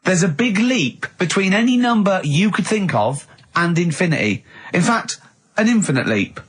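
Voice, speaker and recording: A man hosting comic radio banter, exasperated, badgering and quick to interrupt. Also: high voice, very animated, a little hiss or hum. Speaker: radio show host.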